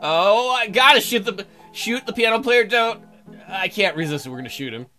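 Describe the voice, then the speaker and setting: A man's voice: sits high, lively intonation, normal speed, bright, forceful tone, faint music behind. A man doing jokey, crude, rambling commentary as he plays and reads a game aloud. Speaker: let's play host.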